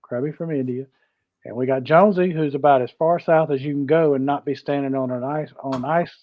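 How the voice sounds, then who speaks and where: Man, Southern US accent, folksy livestream co-host, fairly high voice, casual chat, muffled lo-fi sound. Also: steady tone, brisk. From Georgia, United States. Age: middle-aged or older. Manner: folksy, repeats himself. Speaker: live show co-host. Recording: dull, dry close audio.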